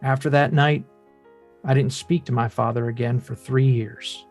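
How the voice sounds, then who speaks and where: A man playing a wounded, earnest adult son who narrates his father's life in a measured, reflective way at a script read. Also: mid-range voice, fairly even intonation, darkish tone, faint music behind, natural voice. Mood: wistful, resigned. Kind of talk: dramatic table read, first-person narration.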